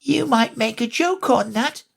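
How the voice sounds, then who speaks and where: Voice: medium pitch, unhurried, vocal fry, husky, pitched above the performer's own voice, heavily acted. A reader voicing a tiny, unhappy gnat in a storybook, small and wistful. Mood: teasing.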